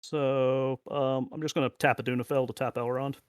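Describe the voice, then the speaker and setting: A man's voice: mid-range voice, level tone, normal speed, clear, resonant tone, no room sound, studio quality. A middle-aged man chatting casually as he plays a card game, talking through his moves and muttering to himself and the cards.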